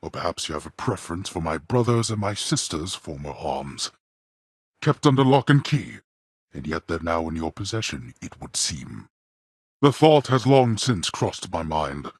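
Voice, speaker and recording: A man playing a stern, imperious male lord of the underworld in a video game, speaking in a cold, disdainful, formal manner with a very husky, gravelly voice. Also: deep voice, very animated, moderate pace, no room sound, studio quality, full character voice. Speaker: voice actor.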